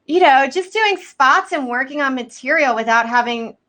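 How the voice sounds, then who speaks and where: A young American woman from Houston, a comedian chatting casually on a video call, soft-spoken and lively with lots of fillers. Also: sits high, moderate pace. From Houston, United States. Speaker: comedian guest. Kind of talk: casual chat.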